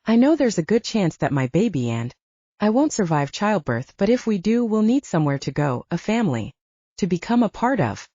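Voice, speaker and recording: A woman narrator voicing a quiet, earnest but determined young werewolf woman in an audiobook. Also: mid-range voice, very animated, moderate pace, no room sound, lo-fi sound.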